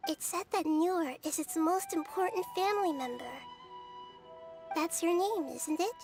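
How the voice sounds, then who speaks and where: A gentle little-girl deity from a video game. She sounds high, soft and childlike, yet speaks with measured, compassionate wisdom. Game music runs clearly behind her. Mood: gentle, consoling. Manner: measured, thoughtful, consoling.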